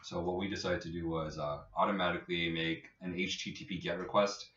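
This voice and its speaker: Echoey distant recording, very low male voice, casual tech podcast co-host, informal and halting. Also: fairly even intonation, a bit bright. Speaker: co-host, app developer. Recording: echoey room, distant muffled mic.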